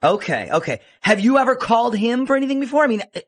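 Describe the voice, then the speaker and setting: High-pitched male radio host. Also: big pitch swings, quick pace, a little hiss or hum. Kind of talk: casual radio phone-in banter.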